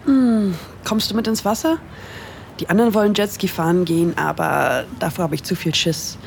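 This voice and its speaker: A woman's voice: fairly low pitch, moderate pace, gravelly, slight background noise. A woman playing a cheeky young best friend in an audio drama, casual, playful and teasing in her dialogue. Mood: casual, coaxing.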